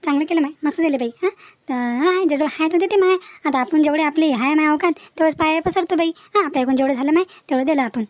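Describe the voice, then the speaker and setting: A woman playing a talkative, sharp-tongued village housewife in a comic cartoon, with a rural Varhadi accent from Maharashtra and an emphatic, gossipy manner. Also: high voice, fast, dry recording, lightly acted. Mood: gossiping.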